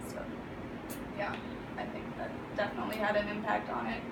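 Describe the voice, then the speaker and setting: A woman's voice: medium pitch, monotone, fairly quick, gravelly. A young woman born in the US answering questions casually, with frequent likes and ums. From United States. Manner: chatty, says like often. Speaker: interviewee. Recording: echoey room from far off, hissy.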